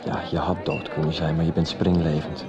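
A calm, wry man with a very low voice, played by an actor. He talks in a reassuring way. The sound is dull, with a crowd clearly heard behind. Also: a little fast. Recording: lo-fi street scene with crowd.

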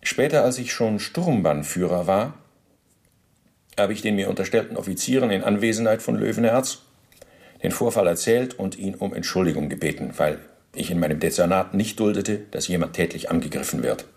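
A man's voice: sits low, brisk, darkish tone. An actor playing a self-justifying former SS officer under interrogation in a radio play, speaking formal, pedantic officialese with deference to his questioner. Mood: self-justifying, precise. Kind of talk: radio play, testimony monologue.

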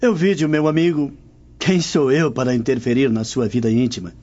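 A man playing a cautious, loyal Roman nobleman in a radio drama, speaking in a formal, measured way. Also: medium pitch, steady tone, a little mellow, lightly acted. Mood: concerned, discreet. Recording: dull lo-fi audio.

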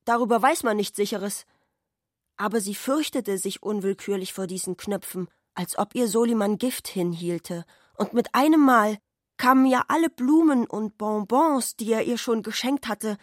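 A woman's voice: mid-range voice, normal speed, no echo, clean studio sound. A woman reads literary narration for a radio play. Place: dry radio studio.

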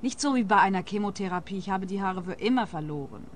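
A woman's voice: mid-range voice, expressive, fast, slight background noise, close to an everyday voice. Actress as a touchy, defensive eccentric woman. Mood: earnest, wounded. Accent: Swiss. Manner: earnest, touchy. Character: defensive, bitter female eccentric hair collector. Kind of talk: radio play dialogue.

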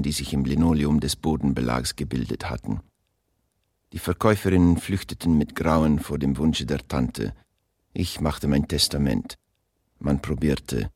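An actor playing a long-suffering nephew worn old, narrating a literary radio play in a deadpan, mock-tragic way. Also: male voice, rumbling low, slightly rough, no echo, heavily acted. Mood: resigned. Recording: loud music behind the voice.

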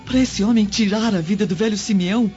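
A woman's voice: mid-range voice, quick pace, warm tone, lightly acted. An actress playing a frightened, refined Roman noblewoman in a radio drama. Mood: fearful, grave. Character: fearful, refined Roman noblewoman, senator's wife. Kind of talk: Brazilian radio drama acting. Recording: dull lo-fi audio, music behind.

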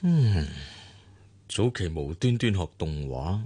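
An actor with a Hong Kong Cantonese accent playing a calm, weary, wealthy middle-aged boss in a radio drama, speaking in a measured, dry way. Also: male voice, sits low, slightly rough, full character voice.

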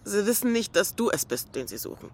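A woman's voice: mid-range voice, sing-song, a little fast, a little hiss or hum. An actress playing a caring, frank young woman in a radio drama, coaxing and matter-of-fact with her friend. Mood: frank, reassuring.

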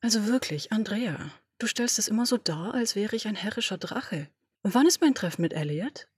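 A woman voicing an imperious, domineering female boss who talks in brisk, commanding, dismissive orders. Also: medium pitch, expressive, husky, no room sound, clean studio sound. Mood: cool, commanding. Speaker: podfic reader. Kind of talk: German audio drama reading.

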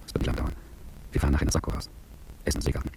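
A man's voice: very fast, a little mellow, slight background noise, natural voice. A man playing a cool, calculating, world-weary business executive who tells his story reflectively and articulately in a radio drama. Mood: quiet, intimate. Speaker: narrator and lead character. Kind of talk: radio drama first-person narration.

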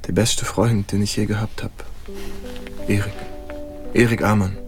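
An actor playing a troubled young German-American soldier, confiding hesitantly in an intimate radio drama. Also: male voice, fairly low pitch, level tone, a little slow, music in the background.

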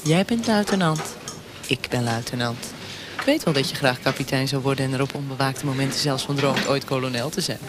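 An actor playing a cynical, mocking secret service lieutenant in a radio drama, taunting and sardonic. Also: male voice, medium pitch, fairly quick, over music, natural voice.

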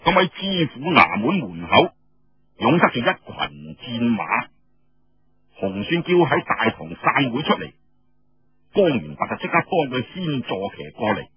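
A man's voice: medium pitch, big pitch swings, unhurried, husky. A man telling a story in colloquial Cantonese, in a dramatic audiobook style with conversational asides. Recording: dull, echoey radio-style recording, faint music.

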